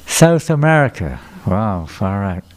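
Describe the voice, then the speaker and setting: A man's voice: lowish voice, sing-song, a little slow. An older man gives a sermon lecture to a temple audience, asking people questions and repeating their answers back to them. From England. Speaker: visiting speaker at a temple. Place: temple hall before a live audience.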